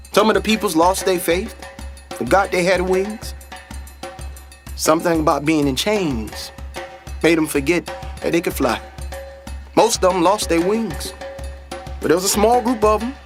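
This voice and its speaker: A man playing a confident, dreamy older brother and aspiring pilot in a radio play, with an earnest, folksy storytelling manner. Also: high-pitched. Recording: music behind a dry voice. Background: music.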